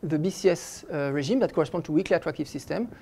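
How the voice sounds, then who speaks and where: A middle-aged man lectures on physics from behind a lectern in a lecture hall. His voice is mellow and soft-edged, and his delivery is halting, with frequent restarts mid-sentence. He sounds like someone working through an explanation live. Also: on the high side, creaky, a little hiss or hum. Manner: halting, stops and restarts phrases often while explaining. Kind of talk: academic lecture.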